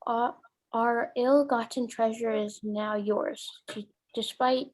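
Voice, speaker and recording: A woman's voice: on the high side, fairly even intonation, takes it slowly, a little mellow, poor audio. A woman playing a haughty ogre king with pompous, declamatory delivery in a folktale reading. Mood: defeated, solemn.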